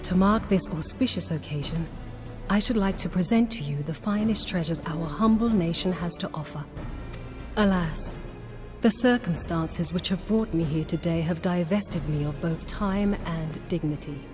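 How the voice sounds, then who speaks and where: A woman voicing a dignified, humble exiled noblewoman pleading for her people in measured, formal speech. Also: on the low side, music in the background, lightly acted. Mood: solemn.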